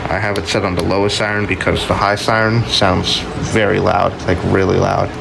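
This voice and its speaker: A man with a low, creaky voice hosts an informal showcase video. He talks in a plain, offhand and halting way, unscripted. A loud, steady ambient hum and hiss runs behind him. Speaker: video host showing a collection. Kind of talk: casual unscripted product showcase.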